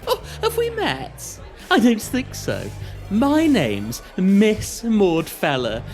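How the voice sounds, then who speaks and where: A woman plays a brazen, gold-digging pantomime stepsister with faint music behind her. She is affected and vain, drawing words out with big swoops of pitch. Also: huge pitch swings, takes it slowly, darkish tone. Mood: flirtatious. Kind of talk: audio pantomime acting.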